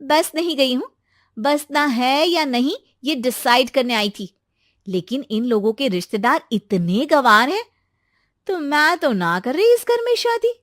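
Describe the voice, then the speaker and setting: A woman's voice: sits high, lively intonation, measured pace, fairly bright tone, no echo, studio quality. A woman voicing an outspoken, self-assured young bride-to-be in a family drama story, pert and protesting. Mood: defiant.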